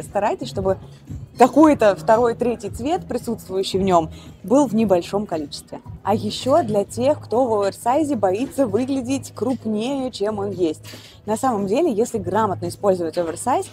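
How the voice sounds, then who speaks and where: A woman's voice: mid-range voice, warm tone. A woman stylist explaining an outfit and giving practical fashion advice to viewers. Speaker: stylist presenting her outfit.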